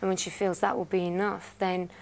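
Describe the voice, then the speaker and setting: A woman's voice: fairly low pitch, flat delivery, a little fast. A woman speaking as a panel guest, earnest and articulate as she gives analytical literary commentary. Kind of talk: analytical book discussion. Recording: clear background noise.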